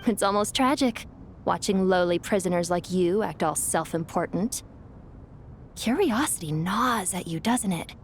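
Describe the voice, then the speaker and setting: A woman's voice: on the low side, unhurried, slight background noise. An actress playing an ancient, haughty female spirit in a video game, taunting in a grandiose, gloating manner. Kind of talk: video game character acting.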